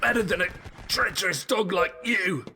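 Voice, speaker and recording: An actor playing a gruff, combative male special agent in a sci-fi audio drama. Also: sits high, moderate pace, pushed and bright, slight background noise, full character voice. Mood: angry.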